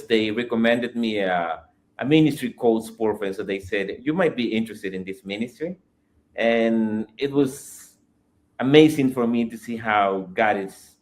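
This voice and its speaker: A young Salvadoran man, a Spanish-speaking missionary, answering interview questions carefully with frequent pauses and fillers. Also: fairly low pitch. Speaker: missionary guest.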